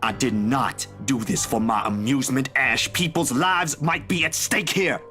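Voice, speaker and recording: A man voicing a defensive, quick-tempered young man who talks back indignantly, swears and uses casual slang. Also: mid-range voice, pushed and bright, faint music behind. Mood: heated.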